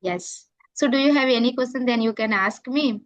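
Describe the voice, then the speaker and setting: A woman's voice: on the high side, moderate pace, lo-fi sound. A woman with an Indian accent chatting casually in non-native English, often checking in with short tags. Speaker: YouTuber and online English teacher. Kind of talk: casual English practice conversation.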